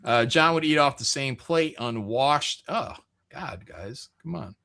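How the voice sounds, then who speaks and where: A man's voice: mid-range voice, expressive, a little slow, gravelly, bright, forceful tone. A man hosting a livestream, chatting casually and reading out viewer comments in an irreverent, joking, profane way. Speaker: livestream host.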